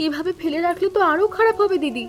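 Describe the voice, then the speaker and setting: A woman playing a young, caring but anxious city-bred younger daughter-in-law in an animated family story, expressive and full of questions. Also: very high-pitched, quick pace. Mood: alarmed, complaining.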